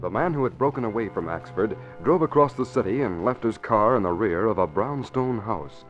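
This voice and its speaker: A man's voice: on the low side, lively intonation, a little fast. A man narrating a 1940s radio drama in a dramatic, scripted style. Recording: muffled vintage 1940s radio recording. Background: faint music.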